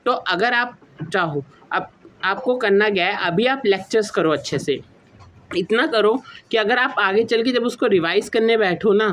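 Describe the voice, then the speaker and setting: A man's voice: sits high, a bit bright, a little hiss or hum. A young man giving informal, explanatory advice to students, mixing in English words and explaining in a rambling, repetitive way. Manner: mixes English words into speech. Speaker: student YouTuber giving exam study advice.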